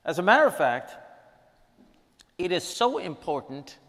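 Slow, echoing preacher man. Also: sits high, sing-song, a bit bright. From Guyana. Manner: emphatic, repeats key lines for weight. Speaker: preacher teaching a lesson. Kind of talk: sermon with prayer. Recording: long reverb in a large hall.

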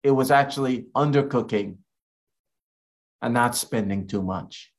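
A man from Guyana, an evangelist, preaching a sermon in a slow, deliberate way with emphatic rises. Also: mid-range voice.

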